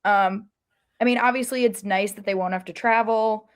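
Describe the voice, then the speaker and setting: Female American co-host, conversational sports podcast delivery, medium pitch. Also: fairly even intonation, moderate pace, clear, resonant tone. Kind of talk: casual sports podcast chat.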